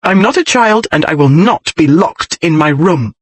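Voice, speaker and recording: A man voicing a spirited young noblewoman who is bold and defiant, speaking in a pert, insistent way with a husky tone. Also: on the high side, animated, normal speed, no echo. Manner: pert, insistent, rising to anger.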